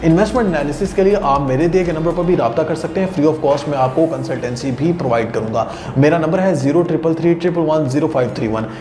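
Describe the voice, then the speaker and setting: Emphatic young property consultant. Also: male voice, on the high side, fast, dark tone. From Pakistan. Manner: emphatic, repetitive, direct address to viewers. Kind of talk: persuasive sales pitch to camera. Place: indoor studio, seated before a backdrop. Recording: roomy sound with background noise.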